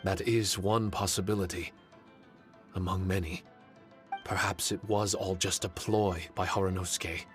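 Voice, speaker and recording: A man acting an earnest, courteous amnesiac in a video game cutscene, speaking in a measured, reflective way. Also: low voice, steady tone, soft background music. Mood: earnest, concerned. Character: earnest, thoughtful male amnesiac, mild and courteous.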